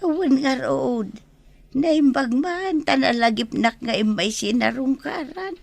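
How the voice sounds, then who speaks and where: Woman doing old ilocano granny for radio drama, high creaky voice, gentle and weak. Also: lively intonation, takes it slowly, dry recording, heavily acted. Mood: weary, tender. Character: frail, ailing old mother, gentle and forgiving.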